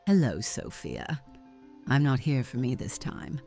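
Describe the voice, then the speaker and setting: Middle-aged aunt, very low pitch, mellow tone, teasing, possessive, visual novel game, music behind. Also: female voice, sing-song, unhurried, heavily acted. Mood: dry.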